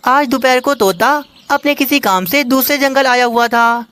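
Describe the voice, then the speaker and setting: A woman reading scripted narration for a children's animated story. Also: high-pitched, moderate pace.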